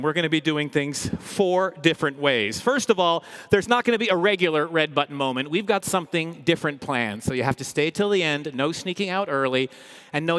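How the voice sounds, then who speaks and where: A man with a fairly high voice presents live on stage in a large, reverberant hall. He is an upbeat comedian host, energetic and playful. His delivery is humorous, full of tongue-in-cheek boasting. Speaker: comedian hosting a corporate event. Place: large indoor event hall, live audience. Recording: echoey big hall, long reverb.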